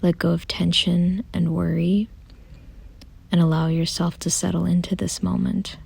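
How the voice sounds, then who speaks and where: A woman softly guiding a meditation in a gentle, calm, unhurried way, often close to a whisper. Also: lowish voice, no room sound. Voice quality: soft, breathy, often near a whisper. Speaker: meditation guide. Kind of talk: soothing guided meditation, scripted.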